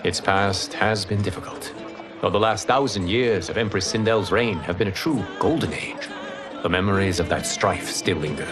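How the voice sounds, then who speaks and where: Guy as a wise game mentor, really deep voice, calm, measured and formal. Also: over music, natural voice. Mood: solemn. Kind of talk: dramatic video game acting.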